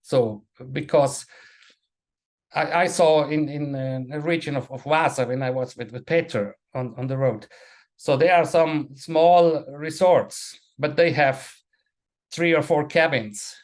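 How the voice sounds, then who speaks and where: A man, a panel guest speaking English as an in a halting way, restarting and repeating words. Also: fairly high pitch. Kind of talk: casual webinar talk.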